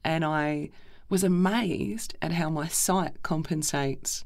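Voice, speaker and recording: A woman hosting a conversation, chatty and lively, who laughs easily as she talks. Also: sits low, takes it slowly, vocal fry. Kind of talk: conversational interview.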